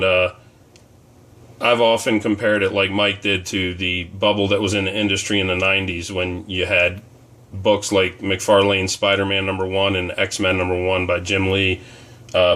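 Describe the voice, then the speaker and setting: A man with a slightly low voice, hoarse from fighting off a cold. He chats loosely to camera in a casual vlog, wandering through his thoughts with plenty of ums and uhs. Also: fairly bright tone. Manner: rambling, conversational, frequent ums and uhs. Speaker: comic collecting vlogger.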